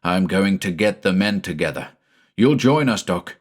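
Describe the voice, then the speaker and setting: A man voicing a grieving farmer father set on vengeance, speaking low, quiet and hard. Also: animated, moderate pace. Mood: grim.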